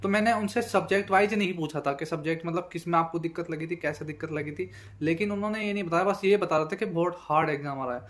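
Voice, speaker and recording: A man's voice: a little high, fast. A young man giving an informal analysis to viewers, conversational and repetitive, with frequent verbal tags. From Bijnor, Uttar Pradesh, India. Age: young adult. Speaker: exam candidate and video host.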